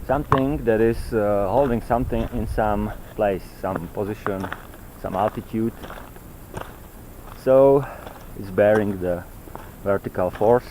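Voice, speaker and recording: Low-voiced non-native man, noisy outdoor recording. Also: a little mellow. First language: not English. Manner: halting, searching for words, repeats himself. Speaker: vlogger. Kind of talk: rambling walk-and-talk monologue on ancient texts. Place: outdoors on a mountain trail.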